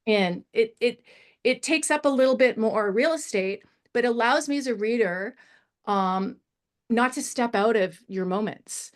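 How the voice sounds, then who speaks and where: A woman story editor giving spoken script feedback in a discussion, halting and emphatic, often illustrating with examples. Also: a little high.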